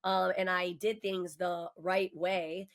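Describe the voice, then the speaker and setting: A woman's voice: lowish voice, level tone, slow pace. A woman in her forties, a guest on a podcast, telling her own story in a candid, emphatic and passionate way. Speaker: mortgage professional. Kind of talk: casual podcast conversation.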